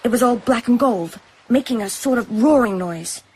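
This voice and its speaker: A woman's voice: on the high side, lively intonation, normal speed, darkish tone, breathy edge. An actress playing a wary, defiant young island woman who collects shells, speaking directly and challengingly in a radio drama. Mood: haunted, intense.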